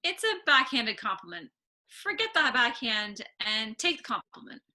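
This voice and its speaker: Casual: woman reading a supportive mom, high bright lively voice, reassuring. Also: moderate pace, heavily acted. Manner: gently persuasive, reassuring. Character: supportive, reasonable mother of a teenager. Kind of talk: screenplay table reading.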